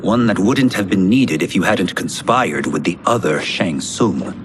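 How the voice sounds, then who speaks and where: A dutiful, reproachful male hero in a game cutscene. His low voice moves expressively and sounds set back from the mic. Loud noise surrounds it. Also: lively intonation, unhurried. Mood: reproachful, urgent. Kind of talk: cinematic video game acting. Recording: distant voice, loud ambient noise.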